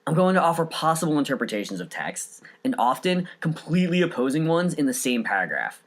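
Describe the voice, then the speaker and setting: A man's voice: a little high, big pitch swings, a little fast. A man hosting a solo podcast, reading scripted narration in an earnest, enthusiastic way. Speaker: podcast host.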